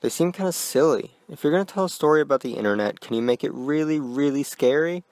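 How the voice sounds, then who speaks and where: Skeptical ten-year-old boy, put on by a male narrator; pitched up, fast, whiny, dry booth sound. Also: a little mellow, dry recording, lightly acted.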